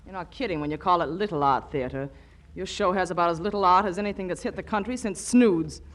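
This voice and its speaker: An actress playing a scolding, pleading young sweetheart who is sharp-tongued and exasperated, in a 1940s radio comedy. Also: female voice, lowish voice, fast talker, natural voice. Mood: sarcastic.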